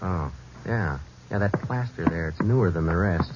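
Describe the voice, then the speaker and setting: An actor playing a police sergeant who narrates the case in a terse, matter-of-fact way, in a 1940s radio drama. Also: male voice, low voice, normal speed, background noise. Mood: flat. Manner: clipped, flat, matter-of-fact. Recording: dull old radio broadcast with music.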